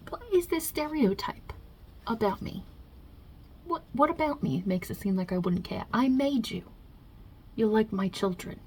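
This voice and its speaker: A woman voicing God as a wry, touchy Italian mob boss in an ASMR roleplay, with a husky, breathy voice and a put-on Italian-American New York accent. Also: lowish voice, heavily acted. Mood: touchy, defensive. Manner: casual, wry, chatty, with softly murmured asides.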